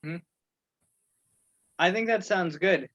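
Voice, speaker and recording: A man with a slightly high voice chairs a casual online meeting. He talks haltingly, working through his thoughts aloud. The sound is dry and a little dull, as on a video call. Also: fairly bright tone, no room sound. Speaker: meeting host and organizer. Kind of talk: informal online meeting talk. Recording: muffled video call audio.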